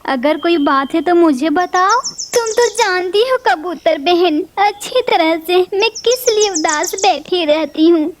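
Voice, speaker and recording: A woman's voice: extremely high pitch, a little hiss or hum. A woman voicing a sorrowful female sparrow in a cartoon who longs for a child and pleads through tears. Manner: pleading, sobbing. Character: sad childless female sparrow, tearful and quick to anger. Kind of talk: cartoon voice acting.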